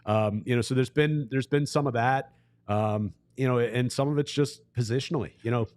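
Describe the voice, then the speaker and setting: A man speaks at a middling pitch as a guest sports broadcaster. His delivery is conversational and measured, laying out analysis step by step. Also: darkish tone. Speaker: guest hockey radio play-by-play broadcaster.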